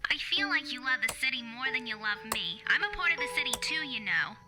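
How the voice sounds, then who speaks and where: A woman's voice: high voice, lively intonation, a little fast, over music. A woman playing a needy, nagging politician's wife in an audio drama. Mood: whiny, needling.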